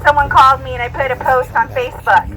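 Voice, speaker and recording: A middle-aged woman from Puerto Rico, an attorney, speaking plainly and earnestly, with pauses and fillers. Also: sits high, steady tone, pushed and bright, rough recording. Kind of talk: rally speech and interview. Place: outdoor street rally. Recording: outdoor rally with street noise.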